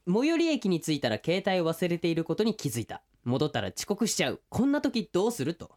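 Guy hosting a radio show, super animated pitch swings, talks fast, casual banter. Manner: animated, quick back-and-forth explaining.

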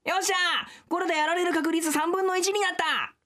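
A man's voice: very high voice, steady tone, fairly quick. A man voicing a cheeky, freeloading young stray dog in a comedic radio drama, brash, slangy and pushy. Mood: pushy, carefree.

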